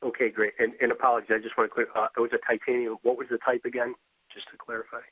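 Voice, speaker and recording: A man's voice: mid-range voice, level tone, very fast, husky, no room sound. A man moderating a webinar, politely reading out questions and announcements in a businesslike way over a tinny phone connection. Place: remote call.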